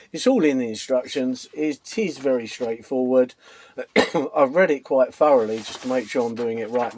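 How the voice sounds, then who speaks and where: A man hosting a model-making channel, his voice croaky from a cold, walking through his build step by step in a casual way with plenty of ums. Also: medium pitch, darkish tone. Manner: unhurried, many ums, explains while showing parts.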